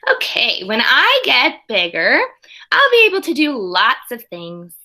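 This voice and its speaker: Bright-voiced woman teacher reading aloud. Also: fairly high pitch, unhurried. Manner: expressive storybook reading with conversational asides to children. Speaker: early primary school teacher. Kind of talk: read-aloud lesson for young children.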